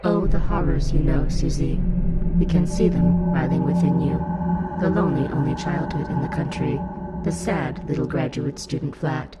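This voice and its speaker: A performer in an audio play voicing an eerie fungal hive-mind, speaking in a slow, deliberate, menacing and coaxing way. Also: mid-range voice, lightly acted, low-quality recording. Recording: loud music under the voice. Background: loud music.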